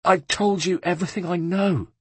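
A man voicing a terrified young monk who pleads and sobs in a high, strained voice. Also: animated, normal speed, breathy edge, dry recording. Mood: pleading, sobbing. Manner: high, wailing and begging.

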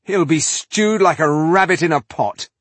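A man playing a proud, defiant fantasy warrior in an audiobook, loud, spirited and emphatic. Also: a little high, unhurried, dry recording, voice pushed up. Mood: exultant.

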